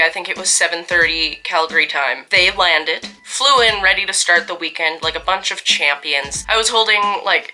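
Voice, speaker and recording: A woman's voice: on the low side, sharp tone, poor audio. A young woman from Canada telling a casual story-time vlog in a chatty, wry, self-deprecating way while getting over a cold.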